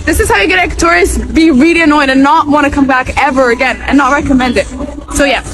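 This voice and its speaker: A woman's voice: sits high, fast talker. A woman traveler recording frustrated, indignant commentary outdoors on a city street. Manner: annoyed, indignant. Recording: loud street music and crowd noise. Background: loud music and crowd.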